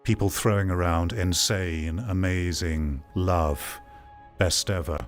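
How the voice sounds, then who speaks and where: A man's voice: low voice, steady tone, slow pace, soft background music. A man giving off-the-cuff, opinionated critical commentary as a streamer, stressing points by repeating phrases. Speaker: streamer hosting a VR gaming commentary show.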